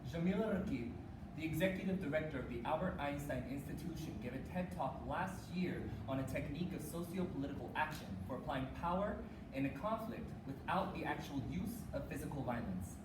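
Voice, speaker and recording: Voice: medium pitch, lively intonation, a little fast. A performer giving a rehearsed, dramatic spoken-word recitation in a declamatory, emphatic way. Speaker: reader's theater performer. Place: live competition performance in a room. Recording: roomy, distant mic, noisy room.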